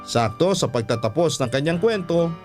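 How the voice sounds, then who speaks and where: A man narrating a story in the first person as a radio storyteller, measured and reflective. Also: a little high, expressive, soft background music.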